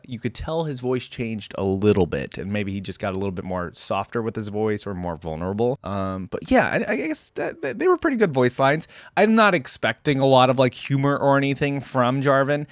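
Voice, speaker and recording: A man's voice: mid-range voice, no room sound, clean studio sound. A man hosting a game reaction video, commenting casually and thinking aloud in an informal, conversational way.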